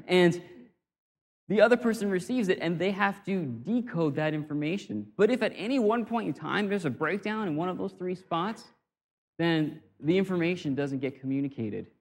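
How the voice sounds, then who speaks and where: A man giving an informal talk on communication, conversational and self-deprecating, often restarting his phrases. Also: fairly high pitch. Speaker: speaker on communication. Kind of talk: informal talk or sermon-like lecture.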